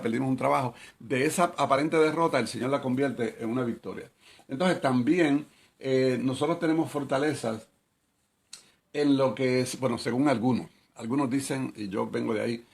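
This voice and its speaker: A man's voice: medium pitch, gravelly, husky. A middle-aged man giving a conversational Bible study sermon, repeating his points and asking rhetorical questions. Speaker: Bible teacher.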